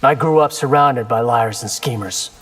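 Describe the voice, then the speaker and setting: An actor voicing a confident young warrior in a fighting video game, cocky, wry and determined. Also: male voice, mid-range voice, normal speed, long reverb tail, close to an everyday voice. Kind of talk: video game character acting.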